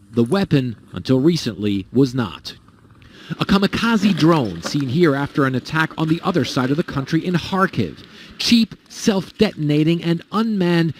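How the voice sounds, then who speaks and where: A male news correspondent reading a scripted report with measured, dramatic pauses. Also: on the high side, slight background noise.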